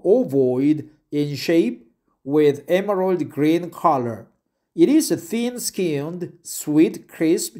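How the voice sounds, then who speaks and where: A man slowly reads an article aloud, repeating phrases and stumbling over words. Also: fairly high pitch, warm tone. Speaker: English learner reading aloud. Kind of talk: reading a web article aloud with asides.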